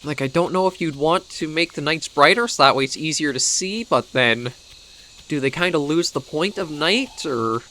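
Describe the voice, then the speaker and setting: A man's voice: fairly high pitch, normal speed, pushed and bright, a little hiss or hum. A man with a Canadian accent who is coming down with a cold, giving casual, rambling gameplay commentary as he thinks aloud. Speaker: gaming video host.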